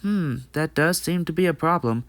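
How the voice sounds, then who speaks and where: A man's voice: a little high, normal speed, no room sound, close to an everyday voice. A man playing a friendly, dutiful young male pony in an amateur comic dub, explaining things in an earnest, read-out way. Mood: earnest, pondering.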